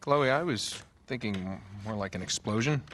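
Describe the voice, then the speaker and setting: A man's voice: mid-range voice, moderate pace, fairly bright tone, slight background noise, natural voice. An actor playing a wisecracking, eager treasure hunter in his thirties, with an American accent and a casual, wry delivery in a game cutscene. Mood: scheming, persuasive. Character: wisecracking, eager male treasure-hunting adventurer. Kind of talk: video game cutscene acting. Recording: game music behind the voice.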